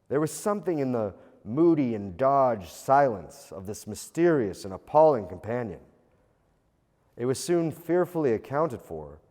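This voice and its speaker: A man reading a classic tale as a storyteller, with a theatrical, expressive, old-fashioned literary delivery. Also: mid-range voice. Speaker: storyteller, audiobook reader. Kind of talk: dramatic scripted narration of a classic ghost tale. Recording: long reverberant hall echo.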